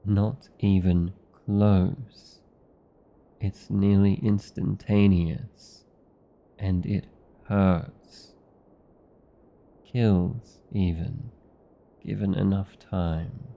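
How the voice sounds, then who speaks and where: Casting: male actor as weary, aristocratically haughty vampire lover, British accent, gravelly voice, intimate ASMR roleplay delivery, slightly dull recording. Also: sits low, steady tone, full character voice. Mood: exasperated, scolding. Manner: slow, deliberate, theatrical, condescendingly affectionate.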